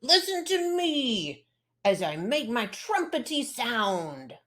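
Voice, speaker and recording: A woman's voice: fairly high pitch, sing-song, takes it slowly, a bit bright, pitched above the performer's own voice, heavily acted. A woman voicing a proud, commanding elephant in a trumpeting manner for a storybook.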